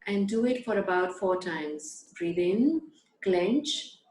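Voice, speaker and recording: A woman guiding a breathing and letting-go meditation, giving slow, soothing instructions with long pauses. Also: mid-range voice, expressive, a little mellow. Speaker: meditation guide. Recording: echoey room.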